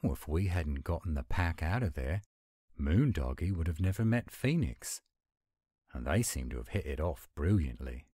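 A man voicing an affectionate, gruff-but-sweet werewolf boyfriend in a tender, intimate romantic audio roleplay. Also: a bass voice, expressive, normal speed, dark tone, no room sound, studio quality, exaggerated voice. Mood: hopeful, affectionate.

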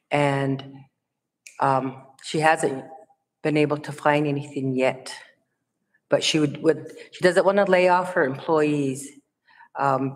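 Woman, deep voice, slow halting report, reverberant room. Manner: hesitant, many fillers. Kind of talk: council meeting report. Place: large council chamber. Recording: long reverb in a hall.

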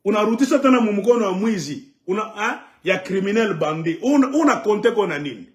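A man's voice: high-pitched, brisk. A middle-aged man, delivering an impassioned, emphatic political monologue to camera at home. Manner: emphatic, animated, sweeping arm gestures. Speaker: political commentator. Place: living room at home, indoors.

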